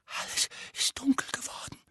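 A man's voice: extremely high pitch, steady tone, slow, fairly bright tone, no room sound, studio quality, voice pushed up, full character voice. A man voicing a frightened, pampered teenage boy who whispers and stammers shakily. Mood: shaken. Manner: shaky, breathy whisper, stammering.

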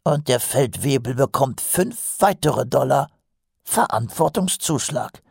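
A man voicing a shifty, cowardly little city watchman in an audiobook, with a raspy, croaky voice and a hesitant, wheedling manner. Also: mid-range voice, expressive, unhurried, darkish tone, no room sound, studio quality, pitched above the performer's own voice, heavily acted. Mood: sly, needling. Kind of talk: audiobook character voice.

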